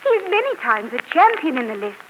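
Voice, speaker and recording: A woman's voice: sits high, very animated, brisk. An actress playing a worried young woman pleading with her brother, in 1940s radio acting. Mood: anxious. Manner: anxious and pleading. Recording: noisy 1940s radio broadcast.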